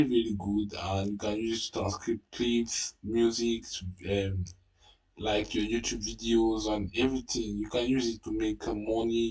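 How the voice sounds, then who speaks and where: Tutorial host, male, French native speaker, hesitant and unhurried, slightly low pitch. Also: far from the mic, low-quality recording. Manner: hesitant, many fillers and restarts. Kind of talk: casual screen-recorded tutorial.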